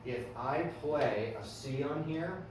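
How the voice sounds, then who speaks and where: A man's voice: on the high side, takes it slowly, background noise. Man, music teacher, classroom lesson, quizzing students, distant mic, very echoey room. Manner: friendly questioning of students, frequent "right?" and "okay".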